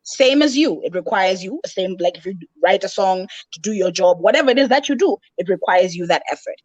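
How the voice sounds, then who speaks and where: A woman's voice: medium pitch, lively intonation, a little fast, dry recording, lo-fi sound. Confident Malawi-raised woman rapper, candid guest chat, dry recording. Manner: candid, self-assured, talks in long flowing runs. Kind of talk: casual interview chat.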